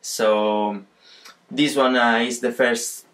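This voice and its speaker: Young Italian-accented man, flat and hesitant. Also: medium pitch, slow. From Sicily, Italy. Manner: hesitant, searching for words, flat delivery. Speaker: guest. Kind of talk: casual chat in a second language. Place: home room indoors.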